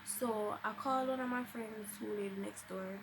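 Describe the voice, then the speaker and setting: A woman's voice: medium pitch, fairly even intonation, moderate pace, background noise. A young woman with a Jamaican accent tells a personal story casually and dramatically, slipping into Patois and acting out what people said. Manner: dramatic, acts out quoted dialogue. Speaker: vlogger telling a personal story.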